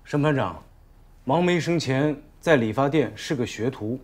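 An actor in his thirties playing a composed public prosecutor in a film, speaking in a measured, formal, articulate manner. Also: mid-range voice, lively intonation, lightly acted. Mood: firm, explanatory. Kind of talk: formal courtroom reading and argument in a film.

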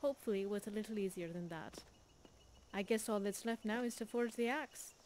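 A woman's voice: mid-range voice, brisk, close to an everyday voice. A woman voicing a steadfast, practical village blacksmith in a video game, earnest and resolute. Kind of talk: video game character dialogue. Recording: loud background noise with clicks.

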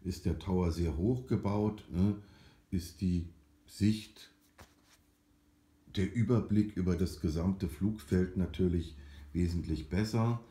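A man giving an informal, unscripted explanation in halting, drawn-out phrases with frequent tag questions. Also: low voice, mellow. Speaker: amateur explainer of aviation topics. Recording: roomy, echoing home recording.

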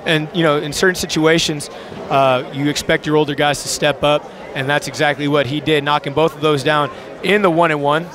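A young man speaks as a basketball coach in a post-game interview. His voice sits a little high and his phrasing is informal and earnest. He is on a headset courtside, with a clear bed of crowd noise behind him. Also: fairly even intonation, fairly quick. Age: young adult. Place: courtside in an indoor arena. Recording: crowd noise in busy arena.

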